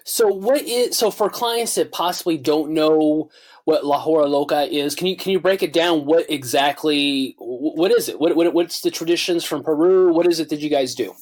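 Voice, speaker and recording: A man's voice: on the high side, normal speed, darkish tone. A man hosting a wedding podcast, enthusiastic and full of filler uhs. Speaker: podcast host.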